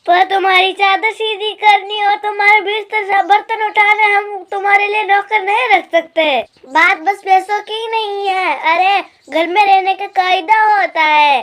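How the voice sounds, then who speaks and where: A woman's voice: very high voice, fairly even intonation, unhurried, a bit bright, no room sound. A woman voicing a middle-aged, exasperated mother bird in a cartoon story, scolding and lecturing with affectionate words. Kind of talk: Hindi cartoon story dialogue.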